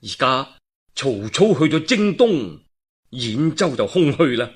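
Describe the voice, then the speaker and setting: A man's voice: on the high side, very animated, measured pace, husky, exaggerated voice. A man with a Cantonese accent voicing a grave, scheming male counsellor, weighty and persuasive. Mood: grave, goading.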